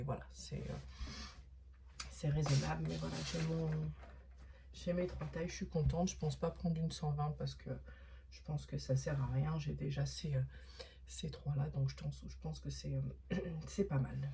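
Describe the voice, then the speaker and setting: A middle-aged woman, hoarse this morning, chatting casually through an unboxing with many fillers and restarts, sometimes dropping to a whisper. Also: deep voice, dark tone, a little hiss or hum. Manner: drops to a whisper at times. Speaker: vlogger unboxing craft supplies.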